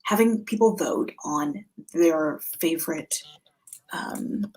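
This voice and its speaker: A middle-aged woman hosting a vlog, talking casually and conversationally, thinking aloud as she gives recommendations, with a slightly husky voice. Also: sits low, slow, darkish tone, poor audio. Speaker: homeschool vlog host. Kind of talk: casual informal product recommendations to camera.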